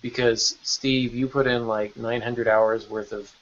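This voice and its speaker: A man hosts a casual podcast with an enthusiastic, encouraging manner. His voice sits at an ordinary male pitch, and the sound is a bit dull and lo-fi. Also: level tone, a little slow. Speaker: podcast host.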